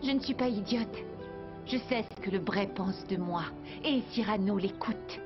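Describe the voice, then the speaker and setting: A woman's voice: medium pitch, unhurried, natural voice. A woman acting a guarded, spirited young woman in a dubbed cartoon, earnest and clipped. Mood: defiant. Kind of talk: dubbed animated series acting. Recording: muffled sound with music underneath.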